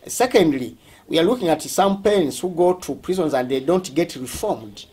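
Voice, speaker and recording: A man with a Ugandan accent speaking as a school representative, explaining things during a tour in a halting, deliberate way, often rephrasing. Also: a little high, expressive, mellow, a little hiss or hum.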